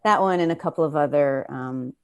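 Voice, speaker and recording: A woman speaking as a playwright on a panel over a video call, expressive and emphatic, with asides and rhetorical questions. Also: low-pitched, a little fast. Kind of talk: animated conversational panel talk.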